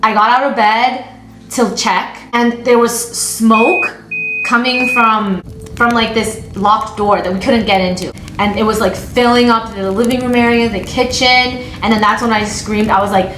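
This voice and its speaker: A woman's voice: on the high side, fairly even intonation, unhurried, noisy background. A young woman telling a casual anecdote among friends in a chatty, conversational way. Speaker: storyteller. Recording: roomy echo, faint music behind.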